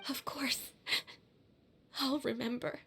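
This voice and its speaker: A woman playing an earnest, distraught young girl in a video game, pleading and halting as she speaks. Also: high-pitched, fairly even intonation, bright, forceful tone, a little hiss or hum, heavily acted. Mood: tearful, apologetic. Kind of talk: emotional video game voice acting.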